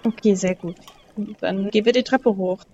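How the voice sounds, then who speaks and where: A woman's voice: medium pitch, normal speed, highs cut off, noisy background. A woman in casual role-play as a game player, thinking aloud a little tentatively as she decides what to do.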